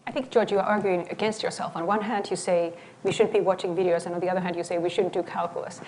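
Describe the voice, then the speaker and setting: A woman from Finland with a Finnish accent, speaking as a panelist in a thoughtful, lively way. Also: on the low side, slight background noise, rough recording. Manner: thoughtful, lively, quick to agree. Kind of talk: panel discussion. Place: event hall before an audience.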